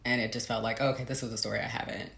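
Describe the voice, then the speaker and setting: An American woman from the Midwest being interviewed as an author, explaining conversationally with hesitant pauses and hedges. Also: rumbling low, flat delivery, gravelly, fairly bright tone. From Midwestern United States. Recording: roomy echo, faint background noise.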